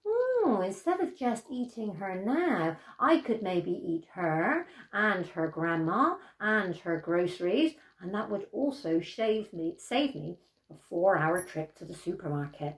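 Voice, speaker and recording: A woman's voice: medium pitch, very animated, unhurried. Woman doing a scheming big bad wolf, roomy sound.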